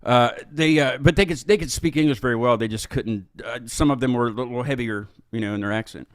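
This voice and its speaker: A man's voice: mid-range voice, quick pace, dry recording. A man casually hosting an interview show, rambling and correcting himself as he goes. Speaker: host of a music interview show.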